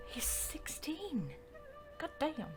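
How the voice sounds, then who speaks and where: A woman's voice: sits high, very animated, drawn-out words, over music. A woman giving casual, offhand reaction commentary as a game streamer.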